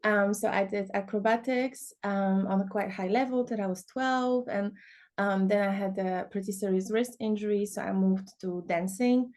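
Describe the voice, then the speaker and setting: A young woman, talking as a guest on a podcast. She chats easily, at a middle pitch, and fills her talk with "you know" and "like". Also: fairly even intonation, normal speed, a little mellow. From Poland. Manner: chatty, many fillers like "you know". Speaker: guest, dance teacher. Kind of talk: casual podcast interview.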